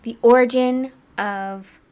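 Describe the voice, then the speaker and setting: A woman's voice: on the high side, fairly even intonation, takes it slowly, a little mellow, smooth. A woman co-hosting a podcast, chatting casually and a bit rambling, slightly congested. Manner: chatty and rambling, many fillers. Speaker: podcast co-host.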